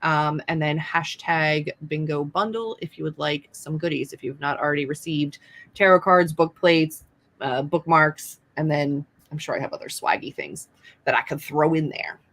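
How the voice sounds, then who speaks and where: A woman's voice: sits low, normal speed, a bit bright. A young adult woman, an author hosting a casual live stream, chatting in a self-deprecating way with lots of fillers.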